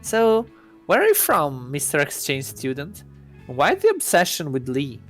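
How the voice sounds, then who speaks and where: A man's voice: on the high side, huge pitch swings, measured pace, a bit bright, faint music behind, pitched above the performer's own voice, heavily acted. A man voicing a smirking, teasing teenage schoolgirl in a visual novel, with a snide manner. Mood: mocking.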